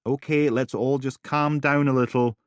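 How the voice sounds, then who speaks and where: A man voicing an easygoing young male detective who tries to calm things down with wry remarks. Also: mid-range voice, normal speed, clear, resonant tone, no echo, clean studio sound, pitched above the performer's own voice, natural voice. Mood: placating. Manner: placating, wry.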